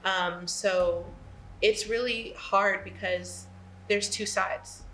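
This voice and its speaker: A woman's voice: mid-range voice, fairly even intonation, slow, a bit bright, a little hiss or hum, poor audio. A young woman, a student actor, giving earnest answers in an interview. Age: young adult.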